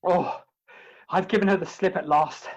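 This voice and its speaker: Breathless, frantic gentleman, played by a woman. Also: lowish voice, steady tone, normal speed, dull audio, close to an everyday voice. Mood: breathless, exhausted. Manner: clipped, breathless list-like storytelling. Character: frantic, exhausted gentleman Fogarty, fleeing a pursuing woman. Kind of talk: comic stage farce acting.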